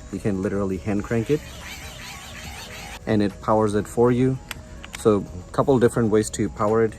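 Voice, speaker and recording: A man's voice: fairly low pitch, darkish tone. A man in his thirties giving a casual product review outdoors, explaining features conversationally and repeating himself. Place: outdoors on a residential front porch.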